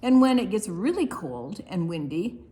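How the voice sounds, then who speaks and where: A woman reading a picture book aloud for preschoolers, expressively and deliberately, with quiet asides to the young listeners. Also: medium pitch, big pitch swings. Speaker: children's librarian hosting online storytime.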